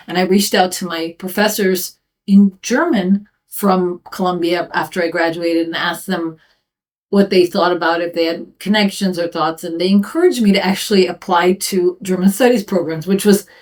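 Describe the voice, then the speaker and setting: A woman's voice: lowish voice, a little mellow, distant mic. A woman in her fifties, a professor, answering interview questions thoughtfully with frequent ums and restarts. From United States. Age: 50s.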